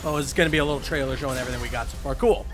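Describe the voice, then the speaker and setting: A man giving casual, excited reaction commentary as a video host, emphatic and given to repeating himself. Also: mid-range voice, racing, over music. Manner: enthusiastic, emphatic, repeats phrases. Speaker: YouTube host reacting to a game showcase.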